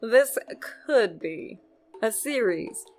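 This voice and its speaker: A woman reading a scripted creepypasta in an expressive storytelling way, with dramatic pauses and drawn-out words. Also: fairly high pitch, faint music behind. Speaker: narrator reading a horror story.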